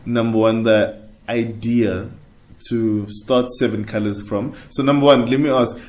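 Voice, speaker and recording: A man's voice: mid-range voice, steady tone, a little slow, darkish tone. A man hosting a podcast, asking loose, upbeat questions with a joking manner. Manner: upbeat, jokey, asks loosely strung questions. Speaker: podcast host. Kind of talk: casual interview. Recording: echoey room with faint ambience.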